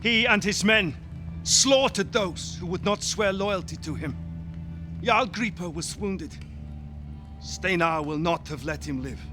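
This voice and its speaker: A man's voice: high voice, measured pace, over music. A man playing a loyal, protective Viking warrior in a video game, earnest and resolute. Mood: grave, urgent. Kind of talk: cinematic video game acting.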